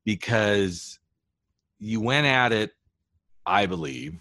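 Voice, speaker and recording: A man hosting an interview who works as a therapist, speaking in a measured, reflective way. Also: medium pitch, lively intonation, vocal fry, bright, forceful tone, no echo, clean studio sound. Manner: measured and reflective, builds long thoughts. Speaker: host, therapist. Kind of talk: conversational interview.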